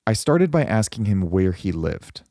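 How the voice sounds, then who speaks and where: A man narrating a documentary voice-over in a measured, reflective first-person style. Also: fairly low pitch, big pitch swings, gravelly, mellow, no echo, clean studio sound. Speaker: host and narrator.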